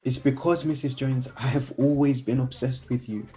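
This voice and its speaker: A man plays a lovestruck young man in a radio drama. His voice is soft-edged and mellow, his delivery earnest, awkward and persistent, with faint music underneath. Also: mid-range voice, fairly even intonation, moderate pace, lightly acted. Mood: intense, pleading. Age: young adult. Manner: earnest, hesitant. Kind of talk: radio play acting.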